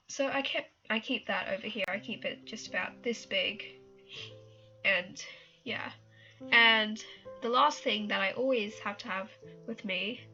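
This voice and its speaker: Slow, bright-voiced young woman with a cold. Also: mid-range voice, muffled recording. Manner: rambling, self-conscious, laughs and apologizes often. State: has a cold. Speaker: art tutorial vlogger. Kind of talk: casual screen-recorded tutorial.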